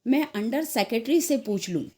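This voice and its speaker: A woman's voice: a little high, expressive, normal speed, warm tone, natural voice. A woman voicing a cautious, rule-bound male office superintendent in a story, with a hesitant, bureaucratic manner. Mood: officious.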